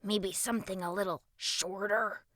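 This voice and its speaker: A woman's voice: medium pitch, steady tone, normal speed, a bit bright, dry recording, studio quality, natural voice. A woman voicing a sceptical, defiant young boy in a children's story, grumbling and mocking.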